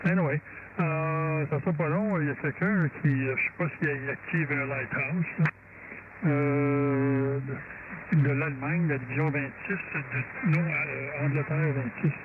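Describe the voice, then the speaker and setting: A man's voice: on the high side, fairly even intonation, measured pace. A man with a Québécois accent, a native French speaker, chatting casually as an amateur radio operator over a radio link. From Quebec, Canada. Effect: CB or ham radio transmission. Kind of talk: casual radio contact chat. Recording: narrow-band radio signal with loud static.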